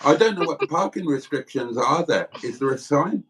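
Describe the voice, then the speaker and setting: Welsh male co-host, playful dry delivery, animated intonation, reads chat aloud. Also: a little high, fairly quick. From South Wales. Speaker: livestream co-host reading chat comments. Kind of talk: casual banter.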